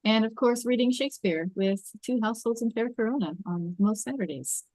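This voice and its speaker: Higher-pitched woman chatting, dry sound. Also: a little fast, a little mellow, dry recording, poor audio. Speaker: table read cast member. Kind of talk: casual self-introduction chat. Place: online video call.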